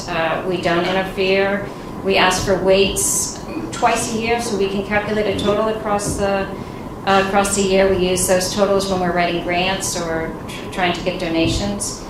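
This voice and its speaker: Nonprofit founder speaking on a panel. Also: female voice, mid-range voice, flat delivery, normal speed. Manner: earnest, informal, with fillers and light laughs. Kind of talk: conference panel talk. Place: conference room. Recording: echoey room, distant, noisy.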